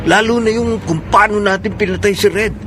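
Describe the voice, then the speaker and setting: An actor playing a fearful criminal accomplice on the run in a radio drama, anxious and questioning. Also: male voice, high-pitched, a little fast, music in the background, natural voice.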